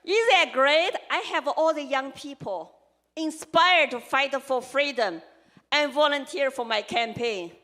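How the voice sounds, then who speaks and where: A woman's voice: high-pitched, unhurried, bright, forceful tone. A woman from China with a Chinese accent, giving an earnest campaign speech as a congressional candidate and listing her points in short, emphatic phrases. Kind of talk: campaign speech to a live audience.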